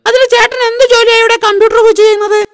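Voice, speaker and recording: A woman plays an eager, wide-eyed little cartoon boy. The voice is extremely high and quick. The sound is dry and close, the tone naive and questioning. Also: level tone. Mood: curious. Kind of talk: comic cartoon voice acting.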